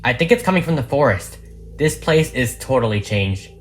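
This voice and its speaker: A narrator voicing a wary female cartoon pony investigator who mutters observations in a deadpan way. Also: mid-range voice, moderate pace, slight background noise, lightly acted. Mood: wary, curious.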